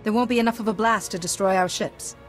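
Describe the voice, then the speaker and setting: A young heroine played by a woman with a mid-pitched voice. She is calm, measured and resolute, a natural leader in a video game cutscene, with faint music. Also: close to an everyday voice. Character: composed, resolute young female Jedi leader.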